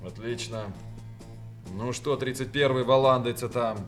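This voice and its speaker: A gruff army major played by a male actor in a radio drama, with faint music behind him. His mid-range voice gives orders with weary, grumbling authority. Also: medium pitch, steady tone, measured pace, fairly bright tone, natural voice. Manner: commanding, grumbling, exasperated. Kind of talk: radio play acting.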